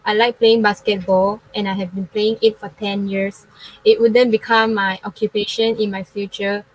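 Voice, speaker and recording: Young woman, from Malaysia, native Chinese speaker, polite measured reading, monotone, online forum panelist. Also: medium pitch, rough recording. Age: young adult. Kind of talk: reading a prepared self-introduction.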